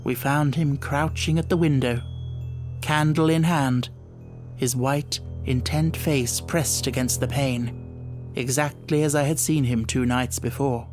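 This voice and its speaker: A man reading a classic mystery audiobook as dramatic narration, with measured, suspenseful storytelling. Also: medium pitch, steady tone, a little mellow, soft background music.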